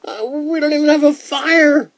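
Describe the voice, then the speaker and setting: A man voicing excitable, complaining little boys in a children's storybook, exclaiming in a whiny manner. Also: very high voice, moderate pace, lo-fi sound, pitched above the performer's own voice. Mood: indignant, whiny.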